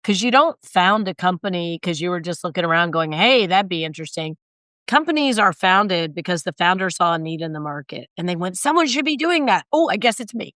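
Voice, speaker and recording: A hoarse woman with a low, raspy voice speaks briskly and with emphasis, acting out the voices she quotes. The sound is close and dry, with no room echo. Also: lively intonation, fairly bright tone. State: hoarse, recovering from illness. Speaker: guest, sales consultant and author. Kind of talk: conversational interview.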